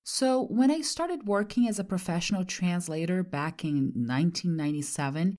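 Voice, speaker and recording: A woman in her forties from Brazil, a native Portuguese speaker, narrating her podcast in a measured, reflective way. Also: fairly low pitch, dark tone. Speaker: podcast host.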